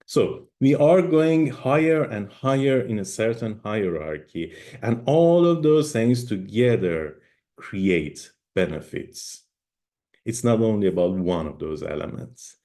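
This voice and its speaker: A middle-aged man with a low, warm voice gives an online conference talk. He is a native Persian speaker, and his pitch swings widely as he stresses points. He lectures with pauses and a few fillers. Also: expressive. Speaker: guest speaker.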